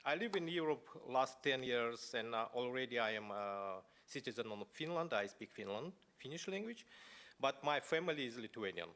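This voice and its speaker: A man from Buryatia speaking English as an at a conference, telling his personal story in a halting way with many pauses and fillers. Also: medium pitch, expressive, a bit bright. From Buryatia, Russia. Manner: halting, many fillers and restarts, non-native phrasing. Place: parliament conference hall.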